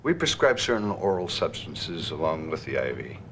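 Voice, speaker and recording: A man acting as a calm, earnest physician in a scripted drama, explaining things in a measured, formal, instructive way. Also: extremely low pitch, slight background noise, full character voice. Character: calm, earnest male doctor explaining treatments. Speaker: actor playing a physician.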